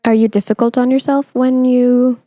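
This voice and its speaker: A woman's voice: on the high side, flat delivery, normal speed, dark tone, no room sound. A young woman hosting a podcast interview in a conversational, reflective way, thinking aloud as she phrases her questions. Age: young adult. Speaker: podcast host.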